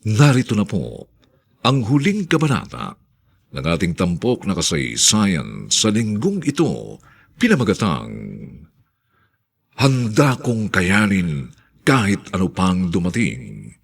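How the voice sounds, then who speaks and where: A man's voice: low voice, huge pitch swings, slow, gravelly, slightly rough. A Filipino man narrating a scripted radio drama as announcer, solemn, florid and theatrical.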